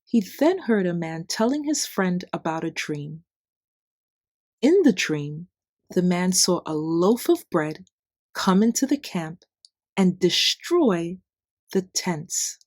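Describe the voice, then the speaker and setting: A woman narrates a children's story at a very slow, deliberate pace. Her voice sits slightly low and moves in lively swings of pitch, giving key words clear emphasis. The sound is close and dry. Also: dry recording. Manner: deliberate, simple phrasing with expressive emphasis for children. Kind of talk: scripted read-aloud bedtime story narration.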